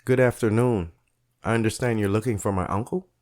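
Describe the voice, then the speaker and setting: Actor as a low-voiced direct young heir, plain and determined. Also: male voice, a little fast, a little mellow, lightly acted. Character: determined young male heir caught in intrigue.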